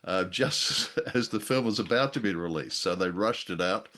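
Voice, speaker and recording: Male podcast co-host, deep voice, jocular and wordy, casual reviewer style. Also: normal speed, pushed and bright.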